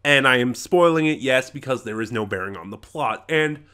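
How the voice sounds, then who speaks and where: Man, mid-pitched, bright forceful voice, casual reviewer, opinionated, rambling, halting delivery, self-correcting. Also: lively intonation, clear, resonant tone. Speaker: reviewer, channel host. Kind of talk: casual unscripted audio drama review.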